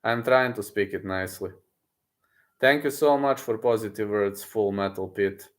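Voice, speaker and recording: A man, a streamer chatting casually with his viewers, boastful and excitable, often repeating himself. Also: fairly low pitch, moderate pace. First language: Serbian. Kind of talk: casual livestream chat, reading messages aloud.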